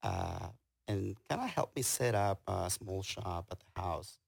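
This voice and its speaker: A middle-aged man with a Guatemalan accent, talking casually and haltingly as an interview guest, telling stories about himself. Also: low voice, sing-song, gravelly, husky, no room sound, studio quality. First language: Spanish. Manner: halting, hesitant delivery. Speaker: interview guest, tailor and business owner. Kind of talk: casual conversational storytelling.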